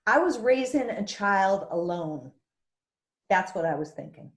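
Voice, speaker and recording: A woman acts out a middle-aged small-town single mother in a dramatic script read. She is fearless, plain-spoken and protective of her child. Her medium-pitched voice sounds in a roomy, echoey space. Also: expressive, unhurried. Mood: exasperated. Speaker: actor in a screenplay table read. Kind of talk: dramatic screenplay table read.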